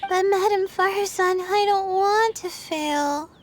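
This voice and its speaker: Timid, halting young student girl. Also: very high voice, slight background noise. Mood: anxious. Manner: hesitant, halting, meek. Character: timid, anxious, sleep-deprived young female student. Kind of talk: video game character acting. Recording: music behind the voice. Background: clear background music.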